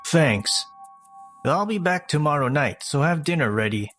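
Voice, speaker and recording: Lively, swinging male delivery from an actor as a self-centred, dismissive young husband, comic-dub drama. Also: a little high, a little slow, faint music behind. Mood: irritated, dismissive. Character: selfish, dismissive young husband who shirks chores. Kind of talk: scripted comic dub dialogue.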